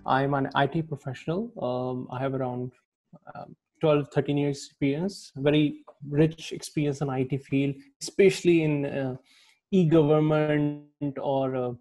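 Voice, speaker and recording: A man's voice: on the high side, steady tone, unhurried, mellow. A man from Pakistan, an IT professional, introducing himself hesitantly with many fillers. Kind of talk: casual interview answers. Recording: faint guitar music underneath.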